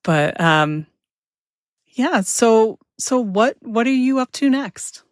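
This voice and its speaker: A woman's voice: a little high, animated, slow, no echo, clean studio sound. A woman hosting a podcast, chatting casually with a guest in an interview style. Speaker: podcast host.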